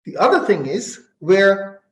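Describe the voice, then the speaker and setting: A middle-aged man with a fairly high voice talks in a very echoey room. He is an interview guest who explains things deliberately, repeating phrases to drive points home. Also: sing-song. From Barbados. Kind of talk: reflective conversational interview.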